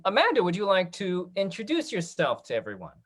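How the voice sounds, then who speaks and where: A woman's voice: fairly low pitch, huge pitch swings, a little fast. A woman hosting a video call interview, prompting guests with encouraging questions. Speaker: host, moderator.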